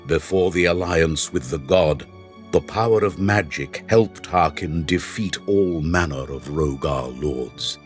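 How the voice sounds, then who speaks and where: An actor playing a solemn male guide and storyteller in a fantasy game, speaking gravely and with weight. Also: a bass voice, unhurried. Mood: solemn storytelling. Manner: grave, portentous. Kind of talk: dramatic fantasy game dialogue and narration. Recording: music under the voice.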